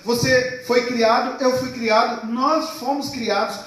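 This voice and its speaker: High-voiced man preaching in an echoey hall. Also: fairly even intonation, a bit bright. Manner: call-and-response preaching, stretches key words slowly. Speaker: pastor. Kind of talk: sermon. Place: church hall. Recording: echoey hall, mic far off.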